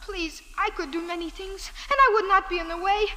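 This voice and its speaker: A woman acting a frightened young Egyptian beggar boy in an old radio drama, pleading deferentially in halting, formal English. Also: extremely high pitch, steady tone, bright, forceful tone, big-room sound. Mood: earnest begging.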